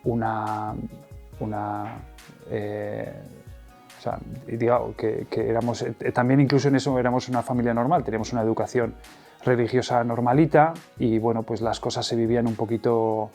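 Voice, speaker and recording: A middle-aged man speaks in a warm, dark-toned voice. He recounts his personal story haltingly, full of pauses, fillers and false starts. Soft music plays faintly beneath him. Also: medium pitch, level tone. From Madrid, Spain. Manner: hesitant, many fillers and restarts. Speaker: interview guest. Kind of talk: personal testimony interview.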